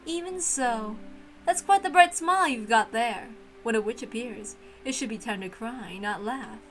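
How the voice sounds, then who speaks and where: A woman's voice: a little high, moderate pace, fairly bright tone, natural voice, rough recording. A woman voicing a haughty, teasing, prank-loving witch in a theatrical visual novel read-aloud, mocking and grandly condescending. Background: music.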